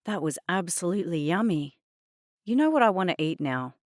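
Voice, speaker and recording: A woman's voice: lowish voice, expressive, brisk, dry recording, clean studio sound, lightly acted. A woman voicing a smug, teasing young man in dramatised audiobook dialogue, playful and mock-innocent. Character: smug, teasing, bargaining young male family friend.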